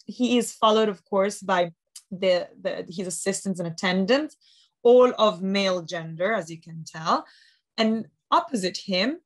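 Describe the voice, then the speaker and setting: A woman in her thirties, an auction house specialist, talking with enthusiasm and many fillers on a video call from a study at home. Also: medium pitch. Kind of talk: expert explaining art in a video call interview. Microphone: video call webcam.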